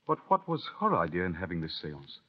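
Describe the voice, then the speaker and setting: An actor playing a bewildered captain at a séance in an old-time radio mystery, given to startled exclamations. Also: male voice, low voice, wildly swooping pitch, fairly quick, heavily acted. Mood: puzzled. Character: startled, puzzled male captain, a séance guest. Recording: muffled old radio recording, music behind.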